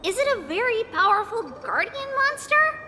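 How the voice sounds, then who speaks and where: Little girl game character, very high, bright voice, curious, excitable, childlike. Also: normal speed, in a large hall, heavily acted. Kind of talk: video game voice acting. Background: background music.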